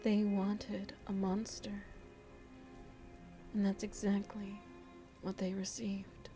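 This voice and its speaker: A woman voicing a seductive, motherly vampire in an ASMR roleplay, soft and breathy, often whispering as she muses intimately to the listener. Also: medium pitch, monotone, soft background music, lightly acted. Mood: musing, intimate. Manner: slow, deliberate, musing, addresses listener tenderly. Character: seductive motherly female vampire, worldly, philosophical, gently teasing.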